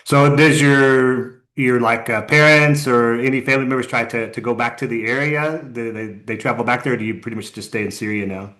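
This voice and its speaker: A man's voice: mid-range voice, fairly even intonation, a little fast, hardly any pauses, fairly bright tone. An American man hosting a podcast in a casual interview style, informal and often circling back over his phrases. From United States. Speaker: podcast host.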